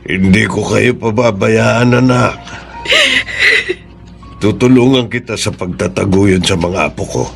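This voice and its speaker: An older Filipino radio drama father with a gravelly, creaky voice at a middle pitch. He speaks in an earnest, measured way, caring yet gently admonishing. Faint music sits behind him. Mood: consoling, earnest.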